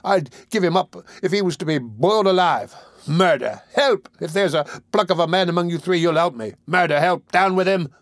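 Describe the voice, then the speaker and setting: Man acting out a frantic high-pitched shouting boy. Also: normal speed, dry recording, studio quality, lightly acted. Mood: defiant, excited. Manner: shrill, excited shouting. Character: frantic, fearless young boy denouncing a murderer.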